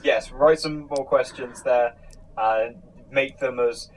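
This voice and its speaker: A man's voice: medium pitch, steady tone, slow pace, poor audio. A man hosting a casual chat, with a wry, mock-grave way of joking. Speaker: host.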